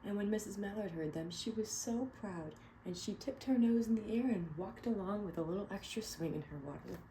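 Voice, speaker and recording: A woman reading a picture book aloud to children, pausing to ask them questions. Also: medium pitch, a little hiss or hum, poor audio. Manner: gentle, asks children questions. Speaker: read-aloud storyteller.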